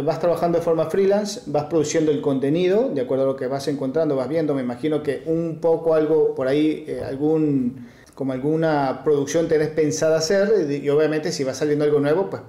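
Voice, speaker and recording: A man's voice: on the high side, dark tone. A man with a Rioplatense Spanish accent, interviewing conversationally and rephrasing his questions in a roundabout way. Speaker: podcast host, interviewer. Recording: roomy, echoey sound.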